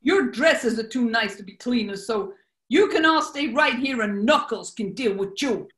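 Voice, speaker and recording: A woman playing a courteous but shrewd male gangster henchman in a radio comedy, with deliberate tough talk. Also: on the high side, lively intonation, a bit bright, roomy sound. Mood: suspicious. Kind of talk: 1940s radio comedy acting.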